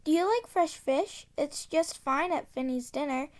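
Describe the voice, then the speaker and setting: Woman, high-pitched voice, unhurried pace, reading rhymes aloud for children, deliberate articulation. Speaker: storybook reader. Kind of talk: read-aloud of a children's tongue-twister book.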